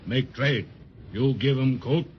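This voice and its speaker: An actor playing a gruff, stubborn wooden cigar-store figure, in stilted broken English with grunting hums, for a 1930s children's radio serial. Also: male voice, mid-range voice, takes it slowly, natural voice. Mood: stubborn, demanding. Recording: dull, noisy 1930s radio transcription.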